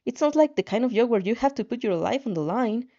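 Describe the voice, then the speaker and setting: A woman's voice: fairly high pitch, animated, quick pace, mellow, lo-fi sound. A woman voicing an anxious young hero-in-training in a fan fiction reading, reluctant, hesitant and full of doubt. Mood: worried, doubting. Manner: hesitant, stammering, worried.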